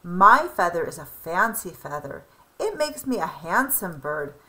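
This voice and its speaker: A woman plays a vain peacock from a picture book. The delivery is haughty and dismissive, in a bright voice. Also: lowish voice, sing-song, measured pace, voice pushed down, heavily acted, poor audio. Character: vain, lordly male peacock.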